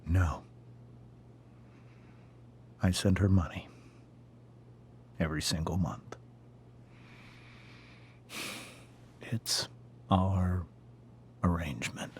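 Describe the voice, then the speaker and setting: A grave, deep-voiced, protective father. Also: fairly even intonation, darkish tone, slight background noise. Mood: stern. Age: middle-aged. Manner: grave, deliberate, measured. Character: stern, protective middle-aged father guarding painful secrets. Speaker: voice actor in a video game. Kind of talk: dramatic game cutscene acting.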